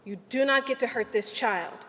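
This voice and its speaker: A woman attorney giving an earnest, deliberate ceremonial speech with measured pauses. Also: fairly high pitch, a bit bright, in a large hall. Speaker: legal aid attorney. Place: large hall at a ceremony.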